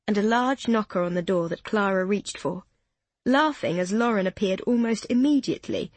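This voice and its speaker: A woman narrating an audiobook in an even, descriptive, unhurried way, close to a studio mic. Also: medium pitch, dry recording.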